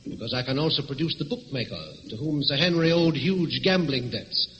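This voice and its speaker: An actor playing a suave, unflappable gentleman thief in an old radio thriller, cool, urbane and dryly witty. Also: fairly high pitch, a little fast, close to an everyday voice. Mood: confident. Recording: dull, hissy old radio recording with hall reverb.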